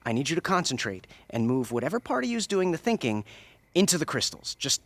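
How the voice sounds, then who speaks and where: An actor playing an eager, clever young adventurer in a video game, earnest and energetic in delivery. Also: male voice, a little high, a little fast, no room sound. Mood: coaxing. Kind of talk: video game cutscene acting.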